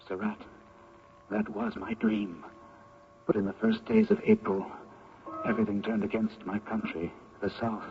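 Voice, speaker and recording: An actor playing a fanatical assassin from the South, fervent, grandiose and melodramatic in an old radio drama. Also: male voice, mid-range voice, gravelly, full character voice. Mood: fervent fanaticism. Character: fanatical Southern assassin man, a dreamed memory. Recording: dull noisy old radio recording.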